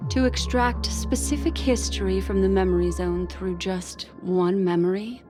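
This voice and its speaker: A woman's voice: mid-range voice, moderate pace, over music, natural voice. A woman playing a poised, needling envoy in a video game, speaking in a theatrical, knowing, condescending way. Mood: knowing, composed. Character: poised, needling female envoy and exposer of lies.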